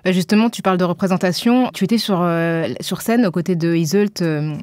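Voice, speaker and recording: A woman hosting a podcast interview, asking measured questions with frequent hesitations. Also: fairly low pitch, a little mellow, no room sound, studio quality. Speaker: podcast host.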